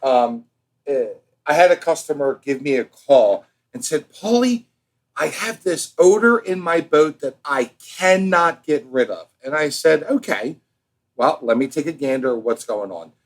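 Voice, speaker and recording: An American man co-hosting a podcast in casual, bantering talk, with an animated, showy and teasing manner. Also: a little high, a little slow. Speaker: podcast co-host.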